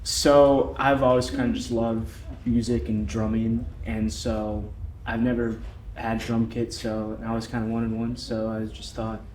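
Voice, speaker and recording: A man's voice: medium pitch, level tone, measured pace, a little mellow. Young male student, hesitant, echoey room, faint noise. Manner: hesitant, many fillers. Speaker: student interviewee. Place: event venue. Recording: roomy with faint background hum.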